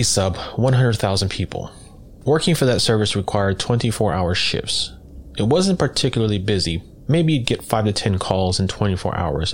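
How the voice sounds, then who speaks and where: A man reading a first-person scary story as a scripted narration, in a measured, matter-of-fact way. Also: on the low side. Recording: clear background noise.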